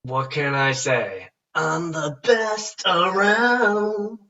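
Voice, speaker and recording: A man's voice: a little high, drawn-out words, sharp tone, full character voice. A man acting a cocky young farm boy in a film script table read, with a swaggering, folksy way of talking. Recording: muffled, distant microphone.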